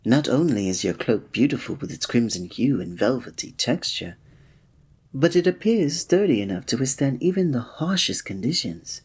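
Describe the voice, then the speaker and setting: Man playing a soft, breathy, gallant fantasy innkeeper. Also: medium pitch, sing-song, moderate pace. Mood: admiring, earnest. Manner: formal, old-fashioned, gallant, gentle phrasing. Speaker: ASMR roleplay performer. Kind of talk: scripted romantic ASMR roleplay.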